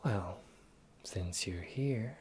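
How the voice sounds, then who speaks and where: A performer voicing a sly, teasing pirate captain in a slow, breathy, whispered fantasy ASMR roleplay. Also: sits low, a little mellow, a little hiss or hum. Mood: sly, bargaining. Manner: teasing, slow, drawling, theatrical.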